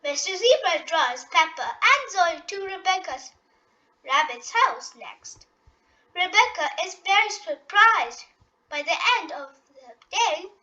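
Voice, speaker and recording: A reader telling a children's picture book aloud with expressive, deliberate phrasing, as if for young listeners. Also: extremely high pitch, highs cut off. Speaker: storybook reader.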